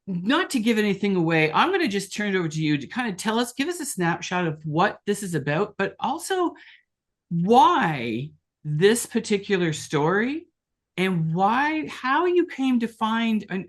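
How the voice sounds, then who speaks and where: A man hosting a conversation with filmmakers for a film festival, asking halting questions with fillers and restarts. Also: high-pitched. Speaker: festival interview host.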